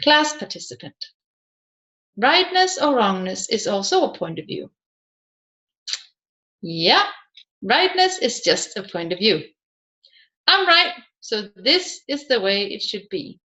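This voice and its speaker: A woman reading scripted nonfiction narration aloud in a measured way, as an audiobook reader working through questions and answers. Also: medium pitch, very animated, sharp tone.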